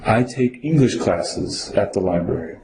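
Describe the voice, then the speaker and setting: A man's voice: on the low side, lively intonation, measured pace, noisy background. An older man from Venezuela answering citizenship interview questions in short, complete, careful sentences. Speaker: citizenship applicant in a mock interview. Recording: roomy echo with faint ambience.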